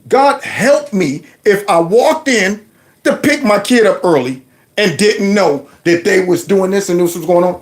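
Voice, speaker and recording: A middle-aged man hosting a commentary show, mocking and animated with loud outbursts. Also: high-pitched. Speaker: host. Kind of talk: commentary vlog reacting to clips.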